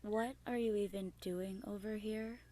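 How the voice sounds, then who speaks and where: A woman's voice: medium pitch, fairly even intonation, unhurried, mellow, dry recording, close to an everyday voice. A young woman playing a teasing, hot-headed, territorial leaf with a blunt manner in a student radio play. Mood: indignant. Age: young adult. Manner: blunt, confrontational. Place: small improvised home recording space.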